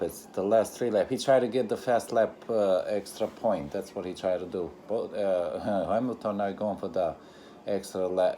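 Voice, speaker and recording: A man who is a non-native English speaker gives informal live race commentary for a reaction video, in halting, repetitive phrases with broken grammar. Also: fairly low pitch, darkish tone, slight background noise. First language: not English.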